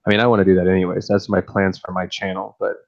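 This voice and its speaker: A man with a deep, very low voice talks in a flat, even tone. He rambles easily, thinking aloud and restarting often as he chats late at night. The sound is a little muffled and lo-fi. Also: fast, creaky. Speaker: fish-keeping streamer and YouTuber. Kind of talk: casual late-night chat.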